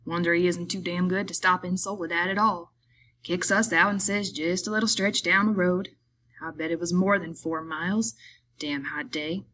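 A woman playing a small, irritable, weary male migrant worker in an audiobook, scolding in rough, rustic speech. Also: fairly low pitch, normal speed, sharp tone, slight background noise. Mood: angry.

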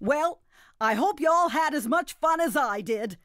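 A jolly old granny from a children's cartoon game. She is sing-song and doting, with a high voice and unhurried delivery. Music plays along with her. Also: animated. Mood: warm, excited. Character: jolly, plump, grandmotherly woman hosting a children's game. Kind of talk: animated children's game hosting.

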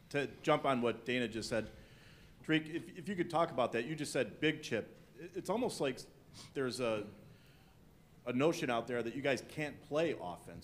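A man's voice: medium pitch, a little hiss or hum. Reporter guy asking a question at a presser, medium voice, kinda searching for words. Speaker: sports reporter.

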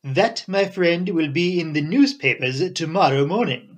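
A man's voice: a little high, expressive, moderate pace. A man voicing a fussy, genial foreign detective with florid, formal phrasing in an audiobook. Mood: genial, teasing. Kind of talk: audiobook character voice.

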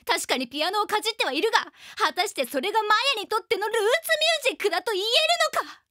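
A woman's voice: very high-pitched, lively intonation, very fast, pushed and bright, no room sound, clean studio sound, full character voice. A woman playing a cocky, eccentric, bossy teenage girl in a radio comedy drama, making blunt, grandiose declarations. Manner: blunt, grandiose declarations, third-person self-reference.

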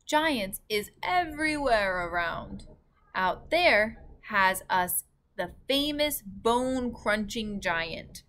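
A woman's voice: mid-range voice, a bit bright. A woman voicing a huge, boastful man-eating giant from a children's storybook, with emphatic, ungrammatical speech. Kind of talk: children's storybook read-aloud.

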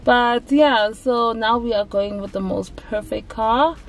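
A woman's voice: on the high side, slow pace, slight background noise. A woman in her thirties with a South African accent, chatting casually and emphatically to camera in a car as a vlog host. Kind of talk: casual chat to camera with code-switching. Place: inside a car. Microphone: camera at dashboard distance.

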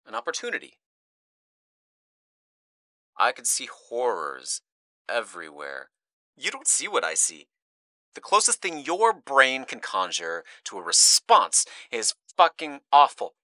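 A despairing zealot, played by an actor in a horror audio drama, speaking slowly and swinging widely from hushed brooding to explosive menace. The voice is bright and tense, recorded close and dry. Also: medium pitch, huge pitch swings, no echo, heavily acted. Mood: brooding, haunted. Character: despairing, unhinged zealot named Riley, menacing and nihilistic.

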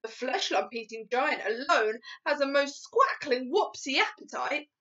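A woman's voice: sits high, animated, measured pace, fairly bright tone. A woman voicing a kindly, sad and stubborn giant from a storybook, with rustic, muddled grammar and emphatic delivery. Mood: sad, resigned. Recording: dull lo-fi recording.

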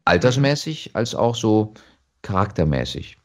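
A man chatting casually as an actor being interviewed, telling stories in an animated way. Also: lowish voice, unhurried.

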